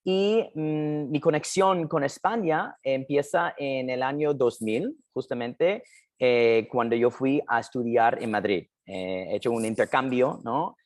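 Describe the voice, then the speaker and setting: A man's voice: mid-range voice, a little slow, no echo, clean studio sound. A man from New Jersey whose first language is English, speaking Spanish with an American accent, a hesitant guest talking in a casual interview, full of fillers. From New Jersey, United States. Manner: hesitant, many "eh" fillers and restarts. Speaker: guest, documentary filmmaker.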